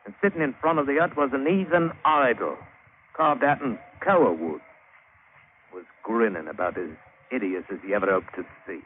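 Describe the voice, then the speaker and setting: A man's voice: medium pitch, expressive, moderate pace, natural voice. British sailor played by a man on tinny old radio. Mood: suspenseful, wry. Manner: colloquial, dramatic storytelling. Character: plain-spoken British sailor spinning a wry adventure yarn. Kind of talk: 1950s radio drama acting, first-person narration. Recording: thin, hissy old radio broadcast.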